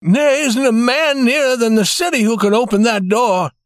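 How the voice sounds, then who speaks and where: A man's voice: very high voice, normal speed, no echo, studio quality, voice pushed up. A man voicing a frantic old banker with a shaky voice in an audiobook story. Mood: despairing. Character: proud old male banker, shaken and frantic. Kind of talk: audiobook character voice.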